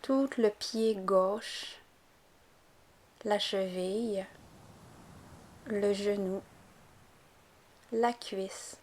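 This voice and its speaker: Slow, soothing woman guiding meditation. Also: medium pitch. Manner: calm, gentle, unhurried instruction. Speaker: yoga nidra guide. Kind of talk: guided relaxation meditation narration.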